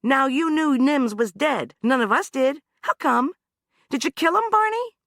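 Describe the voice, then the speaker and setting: A woman's voice: high voice, huge pitch swings, moderate pace, fairly bright tone, dry recording, studio quality, voice pushed up, heavily acted. A woman narrator voicing a tough, angry woman who swears and threatens a man she has pinned down. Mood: furious.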